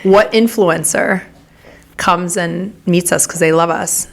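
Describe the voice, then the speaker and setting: A middle-aged woman from Kansas City co-hosting a podcast, chatting casually with quick, laughing asides. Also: lowish voice, fairly even intonation, creaky. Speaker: podcast co-host. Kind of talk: casual chat.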